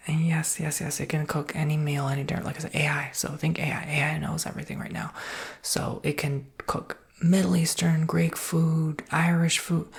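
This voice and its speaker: A woman performs an ASMR roleplay as a soft-spoken salesperson. Her whisper is breathy and sits low, with very heavy vocal fry. She talks a customer through things in a reassuring way.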